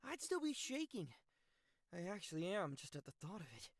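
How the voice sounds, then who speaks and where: A woman playing an easygoing, self-deprecating young student in a visual novel, with a husky voice. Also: medium pitch, very animated, normal speed, dry recording, studio quality. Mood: playfully rattled. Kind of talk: animated visual novel dialogue.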